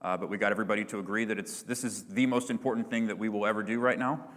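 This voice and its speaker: A man presenting at a business conference, answering audience questions in a relaxed, filler-heavy corporate manner. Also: lowish voice, racing, big-room sound. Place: large conference hall.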